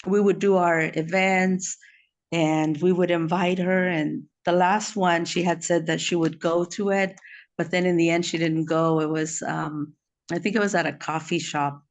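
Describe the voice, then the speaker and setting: A woman from El Salvador, raised in the US, gives an informal, heartfelt memorial tribute, speaking haltingly and repeating words as she searches for the next one. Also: lowish voice, steady tone. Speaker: friend giving a memorial tribute.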